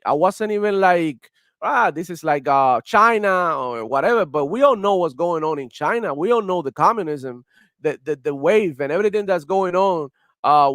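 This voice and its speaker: A man's voice: fairly high pitch, fairly bright tone, dry recording, clean studio sound. A young man from Cuba with a heavy Cuban Spanish accent, rambling heatedly in casual live-stream commentary. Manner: rambling, heated, many fillers and restarts. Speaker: gaming streamer.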